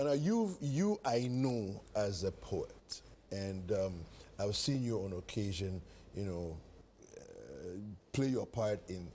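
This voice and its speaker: A man's voice: sits low, mellow, slight background noise. A man with a Ghanaian accent hosting a morning TV show in a conversational style. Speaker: TV show host. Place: TV studio set.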